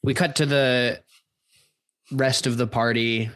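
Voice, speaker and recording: A man's voice: medium pitch, fairly even intonation, slow, fairly bright tone, clean tone, no echo. A man setting scenes as narrator of an improvised radio play, describing the action as it unfolds.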